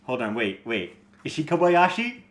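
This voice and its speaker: A man's voice: mid-range voice, wildly swooping pitch, normal speed. A man bantering casually as a co-reader commenting on a story.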